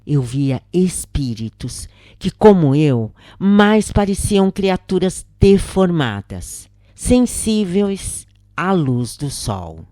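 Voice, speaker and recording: A woman with a Brazilian Portuguese accent giving a solemn, dramatic first-person narration in an expressive, deliberate audiobook style. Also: sits low, no echo, studio quality.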